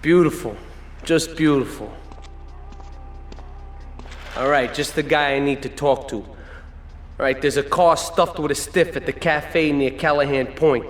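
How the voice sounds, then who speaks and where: A man's voice: fairly high pitch, unhurried, long pauses, echoey room, close to an everyday voice. A man playing a young mobster's son who hands out jobs offhandedly in a game cutscene. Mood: casual.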